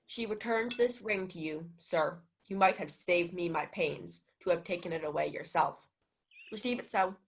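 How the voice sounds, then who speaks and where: A woman's voice: fairly low pitch, moderate pace, creaky. A young woman playing a pompous, indignant male household steward in a Shakespeare radio play. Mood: haughty, peevish. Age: young adult. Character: Malvolio, haughty scolding male household steward.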